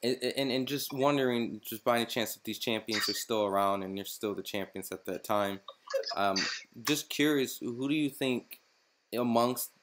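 A man's voice: mid-range voice, moderate pace, background noise. A man interviewing a boxer, asking long questions with many restarts and fillers. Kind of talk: casual interview.

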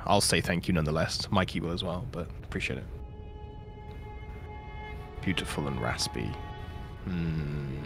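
A tired man with a croaky voice, slowly reading a short story aloud on a stream. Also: extremely low pitch. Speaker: stream co-host.

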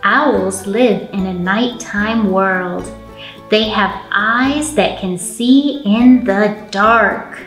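A woman in her thirties hosting a children's storytime, reading aloud in an animated, encouraging way. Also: mid-range voice, extremely slow, reverberant, soft background music. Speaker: children's librarian and storytime host. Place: indoor library storytime room.

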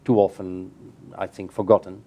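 Low, mellow-voiced man explaining. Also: level tone. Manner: measured, explanatory, frequent restarts. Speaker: keynote speaker. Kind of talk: answering questions in a panel discussion. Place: bright room by large windows over a canal.

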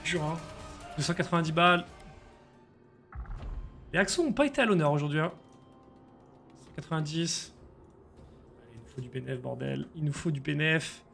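A man, live-streaming slot games and chatting excitedly with viewers over a headset in a small room. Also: a little high, normal speed, gravelly, long pauses, soft background music. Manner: excitable, slangy, chats with viewers. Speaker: casino streamer.

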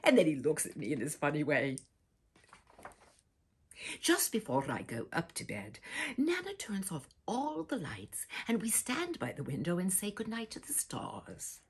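Older female storyteller, sixties, very husky, slow and theatrical, dropping to breathy whispers for young listeners. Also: on the low side, wildly swooping pitch. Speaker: storyteller, host. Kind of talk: theatrical children's picture-book read-aloud. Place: small furnished room indoors with bookshelves.

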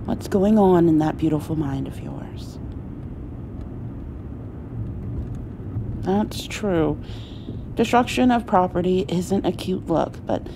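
A woman playing a caring, playful mother in an intimate ASMR roleplay, soothing her grown child with gentle, halting speech and endearments. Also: lowish voice, background noise. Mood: gentle, concerned. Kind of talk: intimate comfort ASMR roleplay, acted as one side of a conversation.

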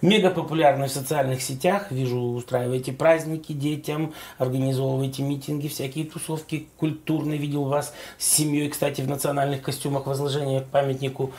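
Hoarse middle-aged male TV host. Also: mid-range voice, fairly even intonation, a little fast, few pauses, darkish tone. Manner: leisurely, chatty questioning with frequent affirming interjections. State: hoarse from a cold. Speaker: talk show host. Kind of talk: studio interview. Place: TV studio.

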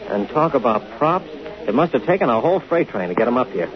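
An American actor playing a sardonic, grumbling homicide lieutenant with slangy banter in a 1950s radio drama. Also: male voice, mid-range voice, sing-song, quick pace, lightly acted. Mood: matter-of-fact. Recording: muffled 1950 radio recording, background crowd.

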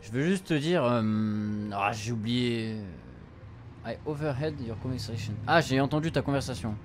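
A man's voice: mid-range voice, moderate pace. Young Frenchman streaming over game music. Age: young adult. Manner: informal, slangy, swearing. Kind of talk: casual let's play, translating and reading game dialogue aloud. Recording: music playing behind the voice. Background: video game music.

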